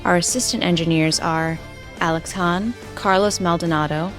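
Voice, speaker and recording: A woman's voice: on the low side, steady tone, moderate pace. A woman reading scripted credits as an announcer. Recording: music bed under voice. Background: music.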